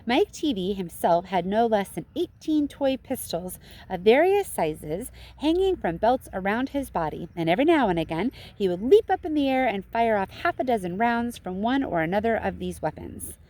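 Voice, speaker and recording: Female schoolteacher narrator for a children's book, very lively intonation, medium pitch. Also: normal speed. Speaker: schoolteacher reading aloud. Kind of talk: expressive children's storybook narration.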